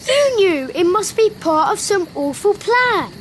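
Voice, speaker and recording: A woman playing an eager child who loves adventure stories, keen and excitable in an audio drama. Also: extremely high pitch, measured pace, slight background noise, heavily acted. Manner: lively, keen.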